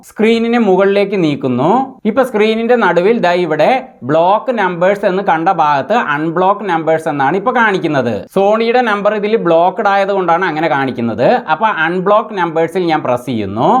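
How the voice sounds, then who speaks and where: A man presenting a tech tutorial, explaining each step in a methodical, instructional way. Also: high-pitched.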